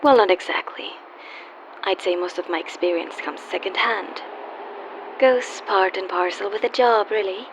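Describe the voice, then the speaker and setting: A woman's voice: medium pitch, moderate pace, close to an everyday voice. A woman playing a wry, world-weary young call girl in a radio play, teasing yet guarded and wistful. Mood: wry, worldly. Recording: dull lo-fi audio with faint hiss.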